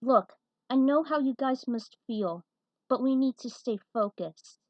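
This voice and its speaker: A woman's voice: sits high, measured pace, a little mellow, dry recording, pitched above the performer's own voice. Woman acting out an urgent, exclaiming young adventurer, muffled. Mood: urgent, pleading. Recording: dull, muffled lo-fi recording.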